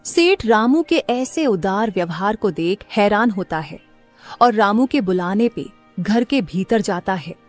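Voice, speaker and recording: Female narrator, mid-pitched, scripted storytelling, music behind. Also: lively intonation, unhurried.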